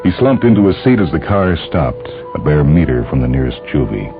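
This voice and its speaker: Extremely deep male story narrator. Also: sing-song, a little fast, creaky. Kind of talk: scripted dramatic story narration. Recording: muffled phone-like band, faint music behind.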